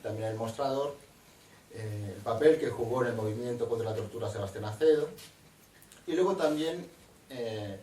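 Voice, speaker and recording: A middle-aged man introduces a lecture in a measured, academic way, tagging his sentences with little questions. His voice sits at an ordinary pitch for a man. He is heard from a distance in a reverberant university room, with a faint hiss underneath. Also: darkish tone. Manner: measured and discursive, with frequent tag questions. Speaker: moderator and historian. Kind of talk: academic introduction of a guest speaker. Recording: echoey, distant room recording with faint noise.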